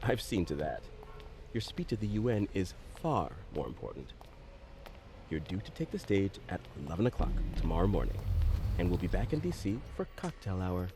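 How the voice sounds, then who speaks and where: A man playing a brisk, placating political aide in an audio drama. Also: low-pitched, big pitch swings, noisy background.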